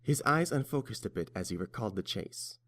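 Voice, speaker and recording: A man's voice: fairly low pitch, lively intonation, fairly quick, a bit bright. A man reading scripted story narration as the narrator.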